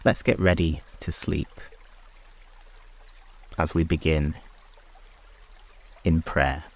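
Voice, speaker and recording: Male meditation host, exceptionally deep voice, very slow and unhurried delivery, hushed phrasing with long pauses. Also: fairly even intonation. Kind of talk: slow guided sleep meditation and prayer.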